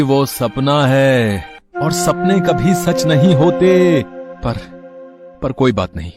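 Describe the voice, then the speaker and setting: A man playing a poor, disheartened village husband in an animated moral story, with a weary, plaintive manner. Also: mid-range voice, lightly acted. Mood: resigned. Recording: music under the voice.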